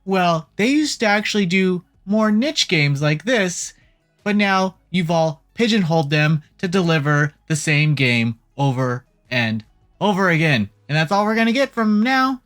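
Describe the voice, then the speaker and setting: Casual gaming news host, mid pitched voice, jokey and opinionated, music playing behind. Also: expressive, measured pace, a bit bright. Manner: informal, joking, teasing asides. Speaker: host of a video game news show. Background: background music.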